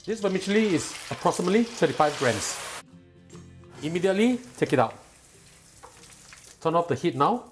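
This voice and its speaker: Calm man teaching a home recipe. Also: high voice, normal speed, pauses often, music in the background. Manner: calm, patient and instructive, enthusiastic when tasting. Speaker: cooking show host. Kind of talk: step-by-step cooking instruction. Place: home kitchen, indoors.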